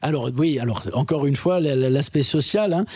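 A man's voice: on the high side, brisk, a little mellow, husky, no room sound, studio quality, soft background music. A man hosting a radio interview, asking questions hesitantly with many fillers and restarts. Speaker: radio host.

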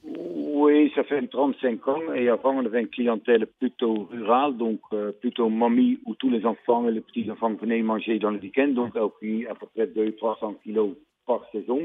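An older man farmer from the Netherlands with a Dutch accent, speaking plainly and emphatically in a radio interview, pausing often with fillers. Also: mid-range voice, fairly even intonation. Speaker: fruit farmer. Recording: tinny phone-like radio line.

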